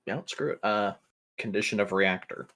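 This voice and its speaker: A man playing a tabletop roleplaying game, asking casual questions and thinking aloud haltingly. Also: lowish voice, fairly even intonation, bright, forceful tone. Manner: hesitant, musing, thinking aloud.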